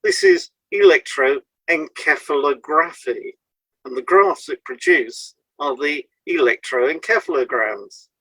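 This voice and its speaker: A man presenting a scripted educational podcast in a measured, explanatory way, setting out items one by one. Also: fairly high pitch, very animated, bright, forceful tone, dry recording, rough recording.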